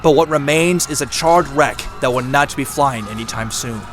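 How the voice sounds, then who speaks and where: A man's voice: medium pitch, normal speed. A man narrating a scripted action audio drama in a dramatic manner. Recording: very loud music and effects behind.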